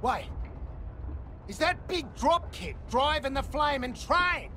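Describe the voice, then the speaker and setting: A man's voice: very high-pitched, expressive, slow, slight background noise, full character voice. A man with an Australian accent playing a brash, whiny, foul-mouthed rogue in a video game cutscene. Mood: wry. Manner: loud, blustering, sweary. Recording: loud background music.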